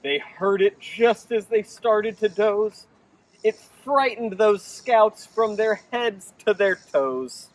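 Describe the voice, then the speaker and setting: A man reading a picture book aloud to children in an expressive, theatrical way. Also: high voice, slow pace, recorded from a distance, rough recording. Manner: theatrical, animated. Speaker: children's storytime reader.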